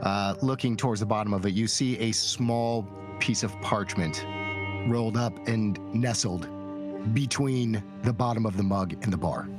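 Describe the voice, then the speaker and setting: A man's voice: fairly low pitch, normal speed, music in the background. A man acting as game master, narrating and refereeing a tabletop role-playing game.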